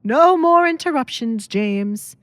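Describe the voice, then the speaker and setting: A woman's voice: sits high, expressive, slow, fairly bright tone, voice pushed up, heavily acted. A woman voicing a stern middle-aged baron in an audio drama, curt and authoritative. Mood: curt, irritated.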